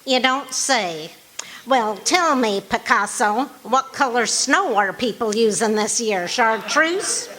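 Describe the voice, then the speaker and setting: A woman's voice: a little high, expressive, slow pace, fairly bright tone, rough recording. A woman playing a patient, dryly teasing wife in an old-time radio comedy, with an affectionate, wry manner. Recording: live stage performance with background noise.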